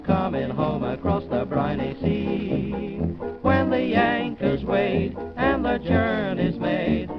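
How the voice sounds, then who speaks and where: A man singing a jaunty vintage novelty number in dance-band style. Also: fairly high pitch. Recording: dull old music recording. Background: loud dance band music.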